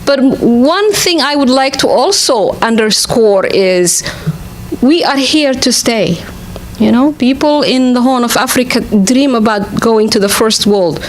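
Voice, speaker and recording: A woman's voice: fairly high pitch, animated, a little slow, a little hiss or hum, poor audio. A woman speaking at a conference, giving a reflective, partly improvised speech in a conversational way, often checking in with the listeners. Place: conference venue.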